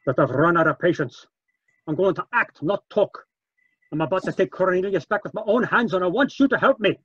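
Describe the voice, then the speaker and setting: An actor playing a stubborn, indignant Scottish farm keeper in an old-time radio drama, speaking urgently and emphatically. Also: male voice, high-pitched, a little fast, breathy edge, no echo, highs cut off. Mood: defiant.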